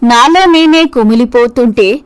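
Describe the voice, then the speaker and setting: A woman performs a penitent young woman in a moral-story drama. The voice is very high and the delivery earnest, carrying apology and regret as she owns up to her wrongdoing. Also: measured pace, smooth, close to an everyday voice. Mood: remorseful. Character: remorseful young woman confessing her past mistake. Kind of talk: scripted moral-story voice acting.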